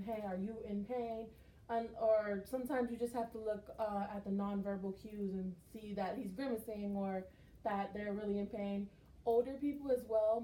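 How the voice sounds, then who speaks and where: A young woman from Texas talks through her experiences in a chatty, animated way. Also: mid-range voice. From Texas, United States. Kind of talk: conversational class presentation. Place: skills lab room. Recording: roomy echo, faint noise.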